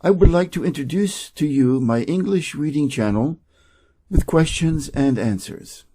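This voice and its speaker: A man's voice: on the high side, lively intonation, a little slow, warm tone, slightly rough. A man from Wisconsin with an American accent, giving a scripted introduction as host of a reading channel. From Wisconsin, USA.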